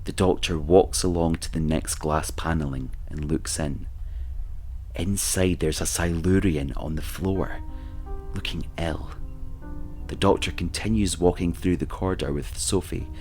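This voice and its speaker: A man's voice: low voice, steady tone, a little slow, a little mellow, faint music behind. A man reading scripted present-tense narration for an audio drama.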